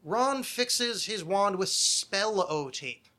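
A man's voice: sits high, expressive, slow, sharp tone. An American man hosting a podcast with casual, rambling comedic chat, self-deprecating and freely swearing. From United States. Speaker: podcast host.

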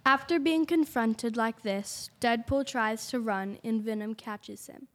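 A high female voice narrates a scripted radio play. The delivery is that of a storyteller in an amateur youth production. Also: measured pace, a bit bright.

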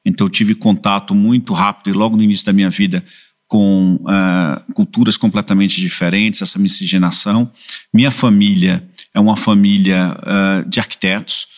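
A middle-aged man from Salvador with a Bahian Brazilian accent speaks in a lowish voice. He talks warmly and reflectively, often stopping to search for words and filling the gaps. He sounds like an interview guest in a studio. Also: steady tone, normal speed. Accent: Bahian Brazilian Portuguese. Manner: warm, reflective, hesitant with many fillers. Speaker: advertising executive guest. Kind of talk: casual interview. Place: podcast studio, in person.

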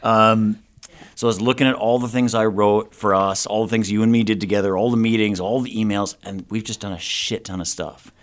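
Man, lowish voice, relaxed informal interviewer, gentle questions. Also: fairly quick. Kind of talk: casual interview.

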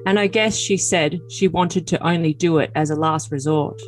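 A woman's voice: deep voice, normal speed, soft background music, natural voice. A woman voicing an earnest, defensive, lovesick young man in a dramatic reading of text messages. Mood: earnest, hesitant. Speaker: podcast cast reader.

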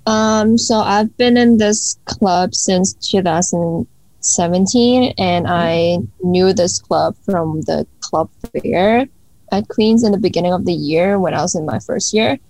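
A woman's voice: lowish voice, slow pace, darkish tone, dry recording, low-quality recording. Young woman from Hong Kong, chatty. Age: young adult. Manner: chatty, with many fillers and restarts. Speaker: student guest. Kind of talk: casual interview answers.

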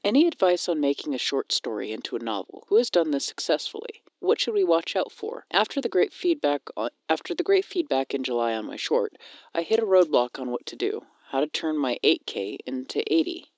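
A man's voice: a little high, big pitch swings, fairly quick, no room sound. A man co-hosting a podcast, speaking as if reading a question aloud.

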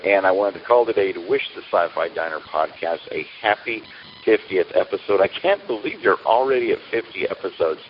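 Deep-voiced man on a muffled, noisy recording. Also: lively intonation, brisk. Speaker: podcaster calling in. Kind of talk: upbeat prepared congratulatory message.

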